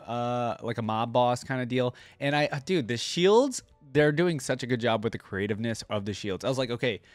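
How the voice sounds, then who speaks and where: A man's voice: medium pitch, no echo. A man giving casual reaction commentary, thinking aloud in informal, slangy language. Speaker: reaction video host.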